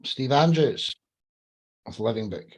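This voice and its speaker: A man's voice: medium pitch, lively intonation, measured pace, poor audio. A man hosting a podcast in a casual, upbeat, effusive style full of matey slang. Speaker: podcast host.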